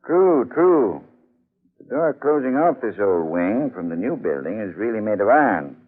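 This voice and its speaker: A cautious, wry professor in a vintage radio play. His lowish voice is authoritative yet animated, on a tinny narrow-band recording. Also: moderate pace. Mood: genial, wry. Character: calm, cautious, dryly witty male museum professor. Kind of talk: old-time radio drama acting.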